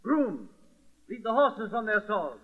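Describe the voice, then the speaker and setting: Vengeful ruler, very high voice, pompous, declamatory, radio play, muffled old recording. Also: male voice, sing-song, slow pace, full character voice. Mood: stern command. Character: imperious, vengeful male provincial governor commanding nobles. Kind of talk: old-time radio drama acting.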